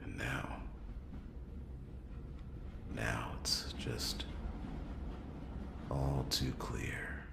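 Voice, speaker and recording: A weary, hardboiled noir detective acted by a man broods through his story in an extremely deep, gravelly murmur. He speaks very slowly and haltingly, half whispering, with long pauses, in first-person ASMR roleplay style. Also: flat delivery, heavily acted. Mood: groggy, uneasy. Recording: roomy echo under clear music.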